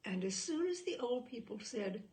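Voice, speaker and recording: A woman narrating a literary short story aloud in an expressive, measured way, with dramatic phrasing. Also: a little high.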